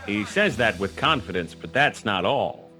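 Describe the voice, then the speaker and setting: An actor playing a wry, hard-boiled private detective, narrating a noir radio drama in a dry, sardonic way. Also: male voice, on the low side, expressive, normal speed, sharp tone, soft background music. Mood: wry narration.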